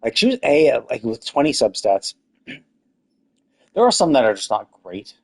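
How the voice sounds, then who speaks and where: A man's voice: medium pitch, sing-song, moderate pace, breathy edge. Easygoing man thinking aloud. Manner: thinking aloud, rambling, many restarts. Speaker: game streamer. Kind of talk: casual live-stream commentary while playing.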